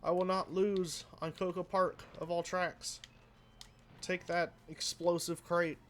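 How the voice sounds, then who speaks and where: A man casually commenting while he plays a racing game, rambling and self-deprecating, with a flat, slightly nasal voice that trails off into mumbles. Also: sits high, slow pace, a little hiss or hum. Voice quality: sounds flat and a bit nasal, by his own account. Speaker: let's play host.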